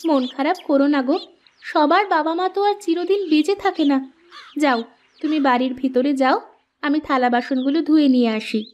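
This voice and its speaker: A very high, thin-pitched village wife played by a woman in a cartoon. She chides and nags her husband expressively, yet she clearly cares. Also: brisk, darkish tone, highs cut off, natural voice. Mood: consoling. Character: nagging but caring village housewife. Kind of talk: cartoon voice acting.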